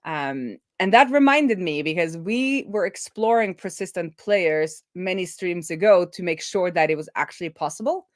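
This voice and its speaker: Middle aged woman doing casual live coding commentary, lowish voice, very dry sound, stops and starts. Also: lively intonation, no echo. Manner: informal, thinking aloud, halting. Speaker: host of a web development live stream.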